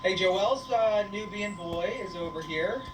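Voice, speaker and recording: A woman's voice: mid-range voice, a little slow. A woman hosting a casual livestream on a goat farm, playfully teasing and chatting to viewers and animals. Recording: echoey, noisy farm livestream, distant mic.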